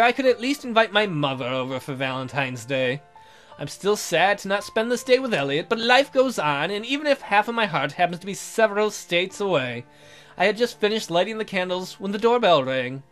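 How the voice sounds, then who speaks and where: Male game host, very high voice, theatrical reader of romance narration, music underneath. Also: a bit bright. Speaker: gaming video host. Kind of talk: reading visual novel narration aloud.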